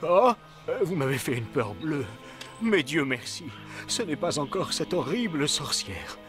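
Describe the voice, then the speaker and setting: A man's voice: on the high side, very animated, a little slow. A man voicing a loyal, anxious royal coachman in a cartoon, fretful, formal and melodramatic. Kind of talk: French cartoon dubbing. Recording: music behind the voice.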